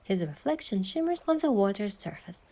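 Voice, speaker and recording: An actress playing a playful, affectionate young heroine who softly narrates her own love story in a romance game. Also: medium pitch, very animated, normal speed. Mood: soft narrating.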